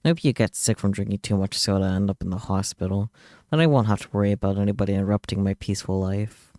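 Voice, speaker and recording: A man's voice: on the low side, fast talker, mellow, dry recording, clean studio sound. A man reading visual novel text aloud as a streamer, with wry, offhand asides. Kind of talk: reading game text aloud with casual commentary. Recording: game music behind the voice. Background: video game music.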